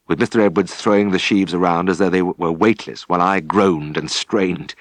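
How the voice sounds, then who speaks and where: Low-voiced British man narrating. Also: dry recording. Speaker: audiobook narrator. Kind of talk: scripted narration of a memoir.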